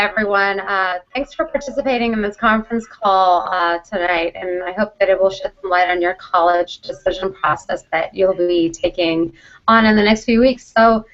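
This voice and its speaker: A woman giving an instructional presentation to students, explaining things in an emphatic, directive way. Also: mid-range voice. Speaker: college program vice president, presenter. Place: conference call. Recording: dull conference call audio.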